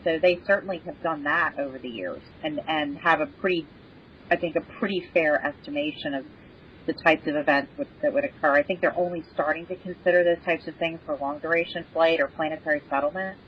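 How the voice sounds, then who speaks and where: A woman speaking as an expert guest in an unscripted podcast discussion, explaining in a measured, think-aloud way. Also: sits low, steady tone, slight background noise. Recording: dull, band-limited audio.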